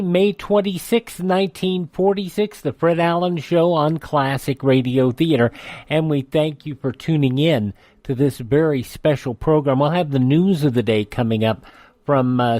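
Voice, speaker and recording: A man's voice: fairly high pitch, darkish tone, no room sound. A man presenting a classic radio programme in a conversational host style.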